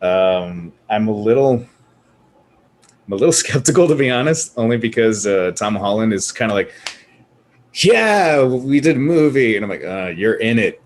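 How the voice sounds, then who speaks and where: Low-voiced man, casual podcast panelist. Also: big pitch swings, normal speed. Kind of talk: casual group discussion. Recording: clear background noise.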